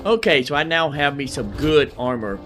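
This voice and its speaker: A man's voice: fairly high pitch, animated, normal speed, over music. A man giving casual gameplay commentary as a video host, repeating his phrases and using folksy slang.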